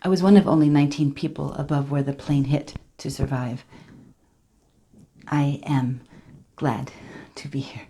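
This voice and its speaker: Low-voiced, halting, earnest woman survivor, acted by a woman. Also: steady tone, warm tone, slight background noise. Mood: earnest, tentative. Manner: hesitant, halting, confiding. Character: earnest, emotional immigrant woman telling a survivor's story. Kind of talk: dramatic audition monologue, direct address.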